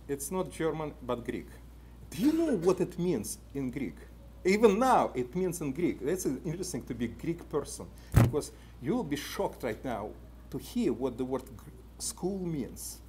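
A man's voice: fairly high pitch, dark tone, slight background noise. A man in his fifties with a Russian accent gives a conference lecture at a podium in an indoor meeting room, talking animatedly with many "uh" fillers and putting questions to the audience, picked up by a room camera from a distance. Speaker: conference speaker.

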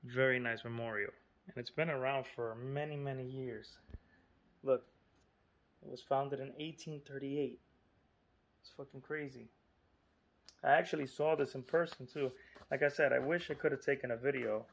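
Guy talking casually to his viewers, lots of "you know" and "look", normal middle pitch. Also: fairly even intonation, moderate pace, creaky, pauses often. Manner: chatty and informal, lots of fillers and asides. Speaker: vlogger. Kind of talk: casual vlog narration.

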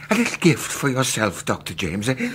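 An actor playing an agitated, timid foreign professor in a radio drama, stammering and halting. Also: male voice, medium pitch, wildly swooping pitch, roomy sound, noisy background. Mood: haunted, anxious.

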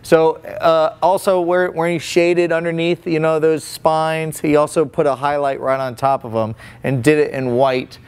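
A man's voice: a little high, level tone, normal speed, few pauses, clear, resonant tone. A man in his forties giving a casual, folksy face painting demonstration with many restarts, indoors in a small room.

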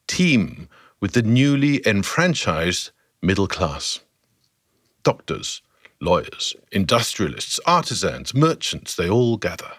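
A man narrating a scripted story for a podcast in a theatrical, measured way with dramatic pauses. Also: on the low side, fairly bright tone, breathy edge, dry recording, studio quality.

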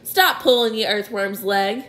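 A woman's voice: medium pitch, unhurried, a bit bright, natural voice. A woman voicing a kindly female ladybird in a storybook reading.

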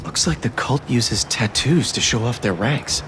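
A man's voice: mid-range voice, moderate pace, noisy background, lightly acted. A man acting an earnest, chatty young American superhero in a video game, with music behind him. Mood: wry, focused. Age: young adult. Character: earnest, chatty young male superhero sneaking through a cult hideout.

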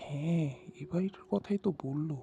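A man's voice: on the high side, brisk, creaky, mellow, dull audio, slight background noise. A man voicing an earnest, anxious husband in a horror cartoon. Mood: earnest, hopeful. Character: anxious, earnest husband moving into a new house.

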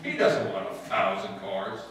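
A man's voice: low-pitched, a little slow, a bit bright, a little hiss or hum. A man playing a wry, exasperated, penny-pinching husband in an old-time radio comedy, given to stammering protests. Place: stage in a meeting hall before an audience. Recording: echoey hall, far from microphone.

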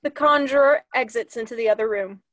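A woman's voice: on the high side, brisk, a bit bright, highs cut off. A young woman reading stage directions as scripted narration. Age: young adult. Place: room at home, video call.

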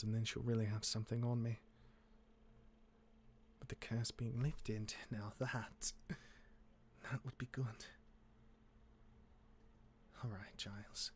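A man playing a gruff, jaded demigod older brother in a Minecraft roleplay, reluctantly caring, with a halting, exasperated delivery. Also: fairly low pitch, slight background noise. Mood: weary muttering.

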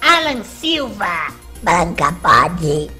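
Casting: woman announcer, very animated, reading supporter names over soft music. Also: lowish voice, drawn-out words. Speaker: credits announcer.

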